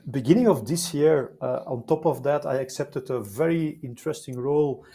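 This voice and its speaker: A man's voice: medium pitch, normal speed, creaky, warm tone, slightly rough. A middle-aged man with a Flemish Belgian accent, a guest telling his career story in an interview with enthusiasm, many fillers and repeated words. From Belgium, Flemish-speaking side. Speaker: guest, life science consultant.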